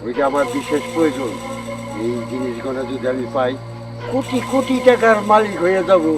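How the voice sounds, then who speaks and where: A man acting a commanding gang leader in an amateur short drama. He orders his crew about, greedy for treasure. The voice sits away from the mic under clear background music. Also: a little high, animated, a little fast, distant mic, close to an everyday voice. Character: bossy male gang leader hungry for hidden treasure. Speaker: actor in amateur short film. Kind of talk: amateur comedy drama dialogue. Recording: off-mic, loud music behind.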